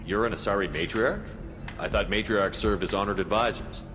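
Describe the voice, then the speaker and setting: An actor playing a calm military commander in a video game, polite and inquisitive. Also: male voice, on the low side, brisk, music in the background, close to an everyday voice. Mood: calm, curious.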